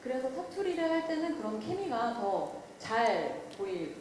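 A woman's voice: a little high, very animated, measured pace, darkish tone, slight background noise. A young woman from Busan, modestly answering a press question. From Busan, South Korea. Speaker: actress at a press event. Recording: echoey event hall.

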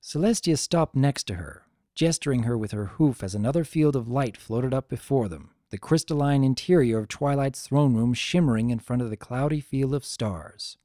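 A man narrating a piece of fan fiction as a dramatic reading, measured and expressive. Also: mid-range voice, no echo, studio quality. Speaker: story narrator.

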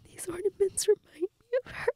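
A woman acting a grieving girlfriend in an intimate audio role-play, crying and fragile, halting and repeating herself, often dropping to a whisper. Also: very high-pitched, fairly even intonation, dark tone, no echo. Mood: grieving, sobbing. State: crying, sniffly, breathy from tears. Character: grieving girlfriend, tearful and fragile, insisting she's fine.

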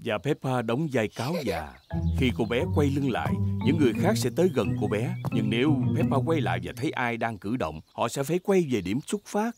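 A man reading scripted narration for a children's cartoon in an expressive storytelling way. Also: medium pitch, a little fast, loud music behind the voice.

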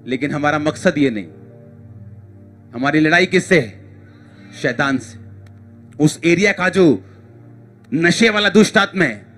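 A man's voice: high-pitched, pushed and bright. A male pastor preaches to a congregation. He is emphatic and exhorting, hammering phrases by repetition. Music plays behind his voice. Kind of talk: impassioned church sermon to a congregation.

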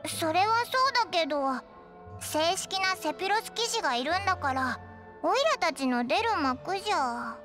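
A woman voicing a tiny, blunt, excitable fairy companion in a video game, with a squeaky childlike voice. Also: moderate pace. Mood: doubtful. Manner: brash, informal, blunt. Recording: music under the dialogue.

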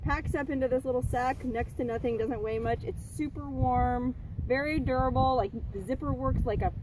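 A woman's voice: sits high, moderate pace. A woman in her thirties chatting bluntly and casually about camping gear, swearing freely, at an outdoor campsite. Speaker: vlogger. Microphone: camera a few feet away. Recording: distant mic with outdoor noise.

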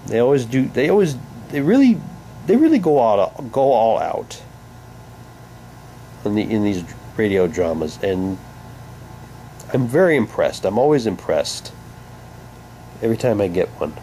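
A man's voice: fairly low pitch, sing-song, measured pace, long pauses, dark tone, slight background noise, poor audio. A man giving casual, unscripted unboxing commentary, rambling and repeating himself, recorded indoors on a handheld camera's microphone. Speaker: hobbyist vlogger. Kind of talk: casual unboxing commentary, sometimes reading aloud. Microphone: handheld camera's built-in microphone.

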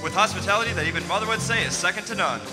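Man as vintage ad announcer, chipper, polished sales delivery, high, brisk, loud music bed. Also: animated. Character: chipper male pitchman in vintage radio spot. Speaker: commercial announcer. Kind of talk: 1940s radio advertisement.

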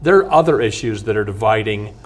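An older man, a clergyman hosting a studio interview, asking blunt, summarizing questions and adding quick interjections. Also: fairly low pitch, expressive. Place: studio set with bookshelf backdrop, seated at table.

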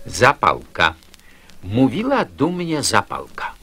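A man with a raspy voice narrating children's verse expressively for radio. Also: mid-range voice, slow pace, over music. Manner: expressive storytelling. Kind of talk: dramatic recitation of children's verse.

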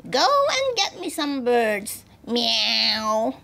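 A woman's voice: fairly high pitch, very animated, drawn-out words, gravelly, bright, forceful tone, exaggerated voice. A woman voicing a cross, cruel old mother cat in a storybook, bossy, growling and meowing.

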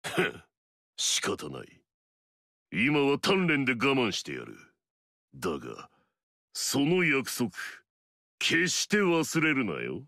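An actor playing an arrogant, battle-hungry warrior in a video game, curt and scornful with a gravelly edge. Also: male voice, medium pitch, huge pitch swings, slow pace, pauses often, pushed and bright, no room sound, studio quality, heavily acted. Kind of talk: video game story dialogue.

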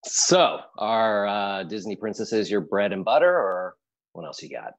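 A man in his thirties with a husky voice playing a friendly, flattering professional over an earbud mic on a video call. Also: fairly low pitch, measured pace, highs cut off. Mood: curious, flattering. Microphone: earbud headset on a video call.

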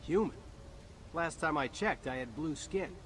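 A wry young soldier hero, tossing off sarcastic quips in a somewhat high voice. Faint noise sits under this video game dialogue. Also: male voice, lively intonation, a little slow, fairly bright tone.

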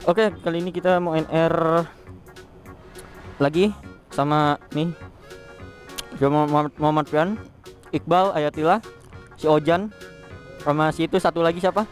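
A young man with a high voice talks in quick, slangy bursts, full of exclamations. He speaks into a helmet intercom while riding through the city at night, with faint music underneath. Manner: excitable, slangy, exclaims often. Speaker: motovlogger. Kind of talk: casual riding vlog chat. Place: on a motorbike on city streets at night.

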